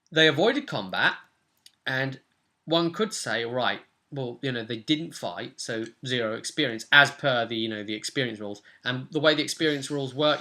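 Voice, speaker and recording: A man's voice: medium pitch, sharp tone. A young man casually recapping a tabletop role-playing session as game master. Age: young adult. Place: small furnished room at home.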